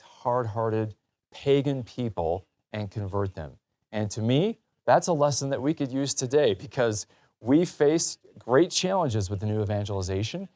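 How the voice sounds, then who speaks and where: A man's voice: medium pitch, mellow. A man hosting a talk show in a conversational, enthusiastic and emphatic way.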